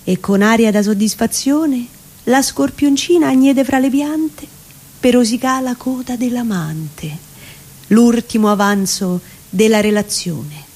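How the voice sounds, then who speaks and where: A woman with a Roman accent recites comic verse in a theatrical, storytelling way. Her voice sits a little high. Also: unhurried, darkish tone.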